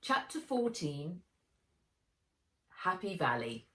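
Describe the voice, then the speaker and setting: A woman reading a children's adventure story aloud with dramatic, deliberate delivery. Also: on the low side, big pitch swings, a bit bright. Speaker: author reading her own book aloud. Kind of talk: expressive children's audiobook narration. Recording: roomy echo, distant from mic.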